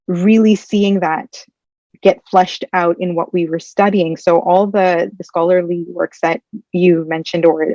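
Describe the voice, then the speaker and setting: Young woman, punjabi first language, measured and a bit hesitant, lowish voice, muffled dry audio. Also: darkish tone, no echo. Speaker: guest, PhD candidate. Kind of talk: conference interview answers. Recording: dull, dry lo-fi sound.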